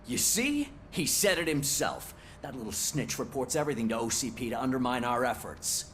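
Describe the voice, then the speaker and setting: An actor playing a bullying, hot-headed police officer in a video game, accusing and taunting. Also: male voice, mid-range voice, sing-song, moderate pace, a bit bright, a little hiss or hum. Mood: angry, taunting.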